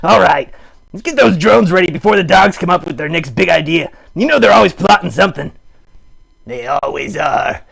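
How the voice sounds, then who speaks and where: A man voicing a silly, excitable puppet schemer in an improvised puppet show, with a raspy, gravelly voice and animated, mock-serious delivery. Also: sits high, moderate pace, pitched below the performer's own voice, full character voice. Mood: playful.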